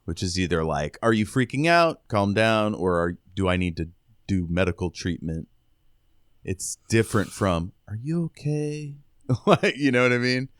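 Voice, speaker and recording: A man with a low, clean, resonant voice co-hosts a book podcast. He recaps the story casually, paraphrasing and raising questions. The sound is dry and free of room echo. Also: expressive, moderate pace. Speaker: podcast co-host recapping a novel. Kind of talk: casual chat with read-out recaps.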